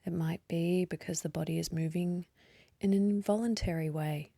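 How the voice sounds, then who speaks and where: A woman leading a guided meditation in a soft, breathy voice, gently and unhurriedly, with long pauses. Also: on the low side, steady tone, dry recording. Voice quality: soft, breathy, partly whispery. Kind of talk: guided meditation, slow calm instruction.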